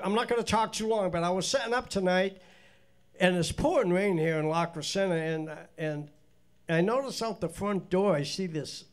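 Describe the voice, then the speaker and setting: Older male host with a head cold, high voice, rambling folksy stage patter. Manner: rambling, chatty, folksy with restarts. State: congested from a cold, stuffed-up nose. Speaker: bandleader and show host. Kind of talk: casual on-stage chat to a live audience. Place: small indoor stage studio. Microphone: stand mic on stage.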